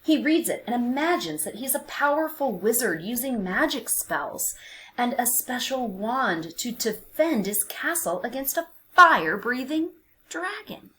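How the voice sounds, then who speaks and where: A woman narrating a picture book for young children, expressive and deliberate, with dramatic emphasis and hushed phrases. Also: fairly high pitch, low-quality recording. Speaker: children's read-aloud narrator.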